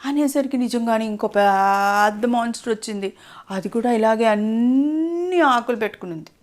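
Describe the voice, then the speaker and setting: A woman's voice: a little high, normal speed. A woman telling a story to a child in a simple, explanatory way, often asking questions.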